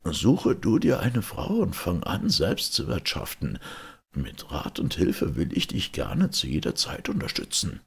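A man voicing a kindly older father fox in a storybook, with a raspy voice and measured, formal, benevolent manner. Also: sits low, wildly swooping pitch, voice pushed up, heavily acted. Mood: fatherly, advising.

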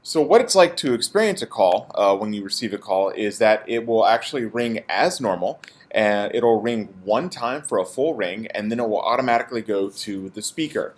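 A man's voice: fairly low pitch, animated, moderate pace, hardly any pauses. A man presenting an informal, explanatory product tutorial as a support technician.

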